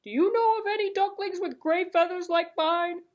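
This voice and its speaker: A woman's voice: extremely high pitch, normal speed, pitched above the performer's own voice. A woman voicing a sad, lonely young male duckling in a storybook puppet show, plaintive and earnest. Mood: hopeful, pleading.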